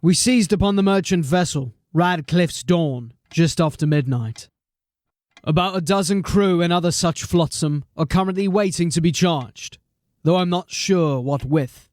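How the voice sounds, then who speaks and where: A man's voice: on the high side, unhurried, a little mellow, no echo, natural voice. An actor playing a tired, dry-witted watch sergeant in an audio drama, commanding and wry in his delivery. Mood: weary. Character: weary, dry-witted watch sergeant, middle-aged man.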